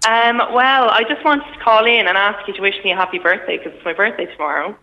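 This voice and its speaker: A woman's voice: medium pitch, quick pace, over a noisy crowd. A woman in her forties calling in to a radio show, hesitant and full of ums, from an echoey stairwell. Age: 40s. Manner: hesitant, laughs shyly, many ums. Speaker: caller. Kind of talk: radio phone-in call. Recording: tinny phone line in an echoey stairwell.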